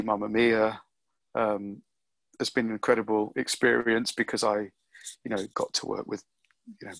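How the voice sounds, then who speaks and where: A middle-aged man answering interview questions about his acting career, reflective and unhurried, with frequent ums and restarts. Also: on the low side, gravelly, no room sound. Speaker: actor being interviewed.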